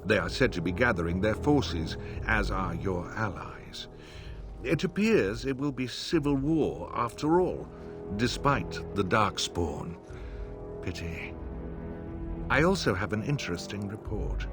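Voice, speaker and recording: An actor playing a scheming advisor to a lord in a fantasy video game, speaking in a measured, deferential, insinuating way. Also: male voice, fairly low pitch, animated. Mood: grave, measured. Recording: game audio under music.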